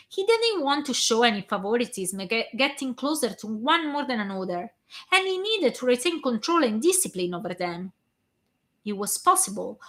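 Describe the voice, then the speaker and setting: A hoarse woman reading a long story aloud in a steady way, sometimes stumbling and restarting a word. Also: fairly high pitch. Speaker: fan fiction reader.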